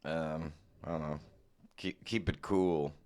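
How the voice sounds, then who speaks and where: A man co-hosting a podcast, speaking in a laid-back, offhand way with a slightly hoarse voice. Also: a bass voice, steady tone, slow, a little mellow. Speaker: podcast co-host. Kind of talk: casual podcast chat.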